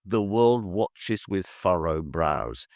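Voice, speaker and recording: A man reading spoken-word poetry expressively, in measured, deliberate phrases with dramatic pauses. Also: low voice, expressive, darkish tone, no echo, studio quality. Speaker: poet and podcast host reading own poem.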